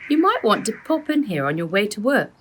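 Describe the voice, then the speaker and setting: A woman's voice: mid-range voice, sing-song, brisk, slight background noise, voice pushed up, lightly acted. A woman voicing a levelheaded, bemused uncle in a children's storybook, with colloquial, questioning delivery. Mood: puzzled.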